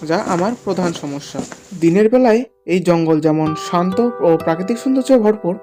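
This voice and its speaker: A storyteller narrates a scripted horror tale for a cartoon in the first person. The voice is medium in pitch, with guitar music clearly heard underneath.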